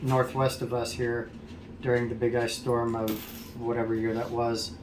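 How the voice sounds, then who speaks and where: Casting: American man, lower-pitched, plain-spoken amateur how-to host, roomy sound with heavy background noise. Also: flat delivery, moderate pace. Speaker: amateur host of a radio repair video. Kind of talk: casual how-to explanation. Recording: echoey room, loud background noise.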